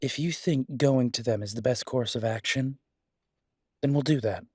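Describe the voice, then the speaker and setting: A man playing a devoted, stubborn, protective werewolf detective in an intimate audio roleplay, speaking earnestly and insistently with emphatic pauses. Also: mid-range voice, a little mellow, slightly rough, no echo, clean studio sound, natural voice. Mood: tender, resolute. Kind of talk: dramatic roleplay audio acting, intimate one-sided dialogue.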